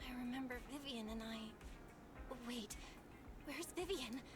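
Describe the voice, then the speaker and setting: An actress playing an earnest young heroine in a video game, speaking in a conversational way. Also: female voice, a little high, a little slow, close to an everyday voice. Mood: shaken, recalling. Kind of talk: scripted video game dialogue. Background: game music.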